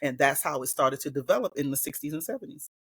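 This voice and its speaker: A woman from Hilton Head Island with a Gullah Geechee accent, a guest educator talking in a relaxed interview and telling stories from home. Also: deep voice, quick pace, breathy edge, no room sound. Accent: Gullah Geechee, South Carolina Lowcountry. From Hilton Head Island, South Carolina. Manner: relaxed storytelling, quoting others in lively voices. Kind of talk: casual interview conversation.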